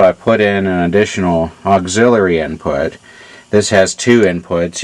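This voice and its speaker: A man giving an informal hands-on technical demonstration in a halting, matter-of-fact way, as a repair hobbyist. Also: low voice, rough recording. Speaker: amplifier repair hobbyist demonstrating his work.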